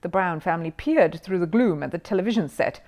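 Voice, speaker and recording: Animated woman reading a children's story. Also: lowish voice, brisk. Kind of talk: expressive scripted storybook narration.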